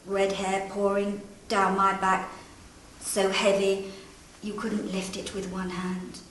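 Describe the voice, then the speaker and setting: A woman's voice: mid-range voice, a bit bright, close to an everyday voice. A woman playing a proud, wronged, imprisoned queen in her forties, delivering a grand, declamatory first-person historical monologue. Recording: echoey great hall, distant mic, background noise.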